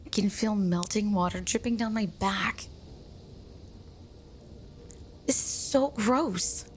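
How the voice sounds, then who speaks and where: A woman's voice: medium pitch, measured pace, slight background noise, lightly acted. A woman playing a bossy, irritable mean girl in an ASMR roleplay, with an exasperated, scolding and sarcastic way of talking. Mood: disgusted, flustered. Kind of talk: ASMR roleplay audio drama.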